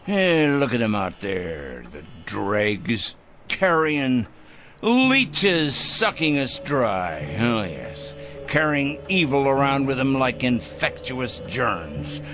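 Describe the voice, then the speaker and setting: An actor playing a fanatical, self-righteous male vigilante in a radio drama, swinging from hushed murmurs to shrill outbursts. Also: huge pitch swings, slow pace, heavily acted. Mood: zealous. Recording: faint music score behind.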